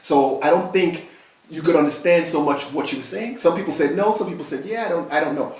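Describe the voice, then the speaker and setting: A man's voice: a little high, fast talker, darkish tone, recorded from a distance. Stammering man, heavy accent, echoey room. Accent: Spanish-influenced accent. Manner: stammering, repeats words, enthusiastic. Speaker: podcast co-host and singer. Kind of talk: casual chat.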